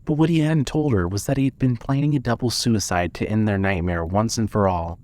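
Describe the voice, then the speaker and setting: A man's voice: fairly low pitch, a little fast, darkish tone. A man reading scripted material as the narrator and announcer of a fiction podcast.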